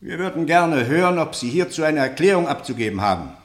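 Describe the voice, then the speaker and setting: An actor playing a stern, sceptical interrogator in a radio play, formal and insistent, with deliberate, pointed questioning. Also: male voice, fairly high pitch, big pitch swings, reverberant. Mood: pressing, accusing. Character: stern, probing male interrogator, sceptical and relentless. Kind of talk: German radio play acting, interrogation drama.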